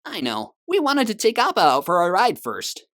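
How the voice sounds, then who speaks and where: Woman voicing a goofy, wildly expressive teenage boy. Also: medium pitch, moderate pace, noisy background. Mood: casual. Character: goofy, joking teenage boy, protective brother. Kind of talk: animated comic dub acting.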